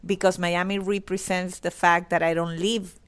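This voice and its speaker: A woman's voice: on the low side, flat delivery, moderate pace, clean tone. A woman hosting a podcast, asking questions and sharing her own thoughts in a casual, thoughtful way. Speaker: podcast host. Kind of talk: casual conversational interview.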